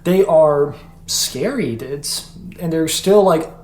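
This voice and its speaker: A man's voice: fairly high pitch, slow. A young man, a guest on a casual podcast, talking in a rambling way and saying "like" often. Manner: rambling, animated, heavy use of "like". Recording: echoey room, faint hum.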